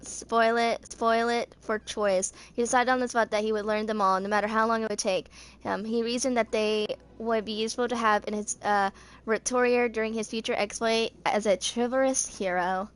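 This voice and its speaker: Female, 20s, slightly high register, amateur fan reader of game lore, stumbles and rereads. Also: steady tone, moderate pace, clear, resonant tone. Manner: stumbles over words and rereads them. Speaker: amateur gaming fan video creator. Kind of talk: reading game character lore aloud.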